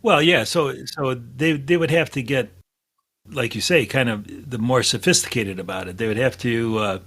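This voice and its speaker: A man's voice: mid-range voice, moderate pace, fairly bright tone. A man on a podcast panel, talking casually and thinking aloud as he goes. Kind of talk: casual chat.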